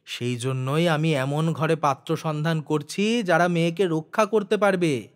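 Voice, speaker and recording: A man's voice: a little high, measured pace, lightly acted. Man playing a humble, worried uncle. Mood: worried pleading. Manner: earnest, pleading.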